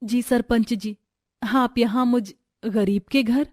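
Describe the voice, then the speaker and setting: A woman's voice: on the high side, fairly even intonation, takes it slowly, darkish tone, no echo, clean studio sound. A woman voicing a poor, devoted and humble sister-in-law in an earnest, pleading way for a Hindi family story.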